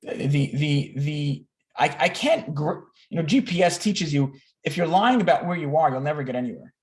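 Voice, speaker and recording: A man's voice: fairly high pitch, expressive, normal speed. A man in his thirties giving a candid, self-deprecating personal talk to a group on a video call, often repeating words and restarting. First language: English. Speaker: speaker at an online learning gathering.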